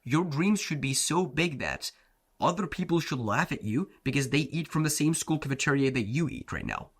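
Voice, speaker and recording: A man's voice: on the high side, animated, normal speed, breathy edge. A man whose first language is Turkish, a self-improvement coach giving a blunt, slangy motivational talk, often saying "bro" and "like". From Turkey.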